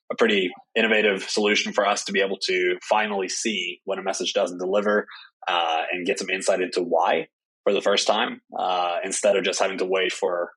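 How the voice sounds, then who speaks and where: Low husky-voiced man, filler-heavy. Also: fairly bright tone, poor audio. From Norway. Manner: hesitant, many "uh" fillers. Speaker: startup CEO. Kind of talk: interview answers.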